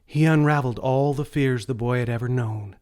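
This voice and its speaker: A man narrating a scripted children's story in a deliberate read-aloud manner. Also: medium pitch, a little mellow.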